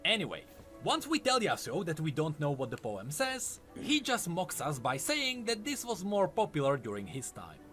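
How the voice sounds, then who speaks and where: A man's voice: on the high side, huge pitch swings, normal speed, sharp tone, soft background music. A man delivering scripted lore narration in an explanatory, storytelling way. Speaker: video essay narrator.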